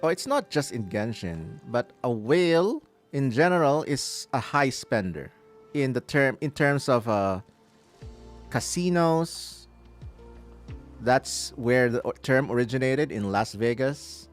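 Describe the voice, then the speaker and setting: A man gives casual livestream commentary to his viewers. He speaks slowly, in a mid-range voice with lots of pitch movement. Soft game music runs behind him. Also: lively intonation. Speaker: live game streamer.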